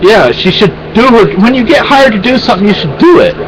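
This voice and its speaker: A man's voice: high voice, animated, brisk, a crowd in the background, low-quality recording. A man venting in a rambling, exasperated way in a casual home vlog, with many restarts. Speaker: vlogger.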